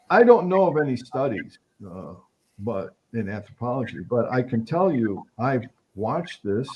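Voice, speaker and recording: A man's voice: high voice, a little mellow, slight background noise, poor audio. An older man, a guest anthropologist, answering interview questions in a thoughtful, hesitant way with many restarts and fillers. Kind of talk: reflective conversational interview answers.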